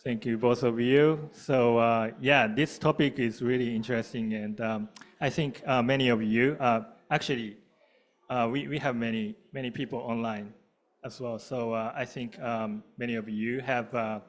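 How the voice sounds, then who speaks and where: Halting Japanese-accented male moderator. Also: medium pitch, steady tone. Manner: halting, hesitant delivery. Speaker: panel moderator, event co-lead. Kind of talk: casual panel hosting before an audience.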